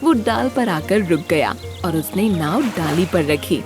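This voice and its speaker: A woman narrating a scripted children's story in an expressive storytelling manner. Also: on the low side, measured pace, music in the background.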